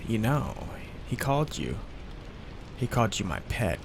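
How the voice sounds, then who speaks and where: Man playing a young mafia heir, hesitant, sheepish, slow, gravelly, rain behind. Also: on the low side, background noise, heavily acted. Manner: hesitant and sheepish, with fillers and restarts. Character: soft-hearted young male mafia heir, awkward and devoted. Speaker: ASMR roleplay voice actor. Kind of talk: ASMR roleplay. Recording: steady rain sounds behind the voice.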